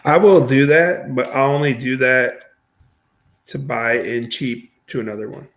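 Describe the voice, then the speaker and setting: Mid-pitched man in casual podcast chat. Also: steady tone, unhurried, fairly bright tone. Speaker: podcast co-host.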